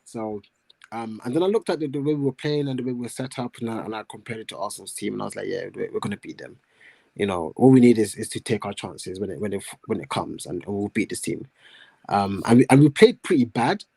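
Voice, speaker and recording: Hoarse low man with a cold. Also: expressive, mellow, no echo, low-quality recording. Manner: thoughtful, measured analysis with fillers. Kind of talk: casual live-stream chat.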